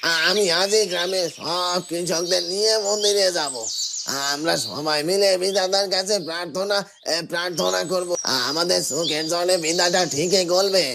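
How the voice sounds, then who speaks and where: A sorrowful village farmer from a cartoon fairy tale, acted by a man. His voice is high for a man, earnest and lamenting, with noisy birdsong behind it. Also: fairly even intonation, moderate pace, gravelly. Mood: grieving resolve. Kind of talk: cartoon melodrama acting.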